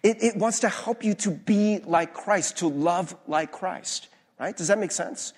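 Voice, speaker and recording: A male pastor giving a conversational sermon in a church, hoarse-voiced, informal and self-deprecating, with many fillers and restarts. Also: high voice, a little slow. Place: church sanctuary. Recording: long reverb of a large hall.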